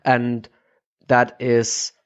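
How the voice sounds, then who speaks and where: Male podcast host, English, casual and halting, mid-pitched, slightly muffled recording. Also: monotone, clear, resonant tone. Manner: halting, searching for words. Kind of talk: casual interview.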